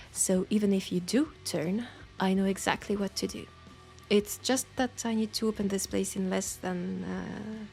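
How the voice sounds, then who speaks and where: Girl acting as french accent witch lady, bit of vocal fry, playful and coaxing, roleplay audio w faint music. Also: mid-range voice, darkish tone. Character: teasing, nurturing female witch, self-styled werewolf tamer.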